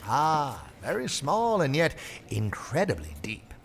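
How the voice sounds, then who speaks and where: An actor playing a whimsical, eccentric bookshop owner in an audio drama, theatrical and playfully erudite. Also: male voice, mid-range voice, huge pitch swings, slow, creaky, a bit bright, a little hiss or hum, heavily acted. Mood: delighted, whimsical.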